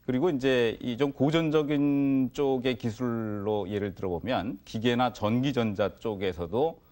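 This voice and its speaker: A man's voice: mid-range voice, measured pace, a little mellow. A man giving explanatory answers as an expert in an interview.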